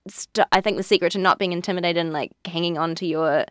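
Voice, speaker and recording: A woman's voice: on the low side, steady tone, fast, fairly bright tone, no room sound, clean studio sound. A woman, a guest actor chatting casually on a podcast, laughing often and filling her talk with "like". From New Zealand. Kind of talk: casual chat.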